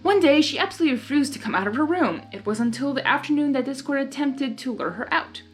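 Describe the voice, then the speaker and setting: A woman reading fan fiction aloud, mixing expressive story narration with casual spoken reactions. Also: high voice, fast talker. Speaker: fan fiction reader and commentator. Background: faint music.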